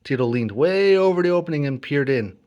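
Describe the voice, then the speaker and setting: A man narrating a children's story as an expressive read-along. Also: fairly high pitch, fairly quick, highs cut off, background noise. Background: music and sound effects.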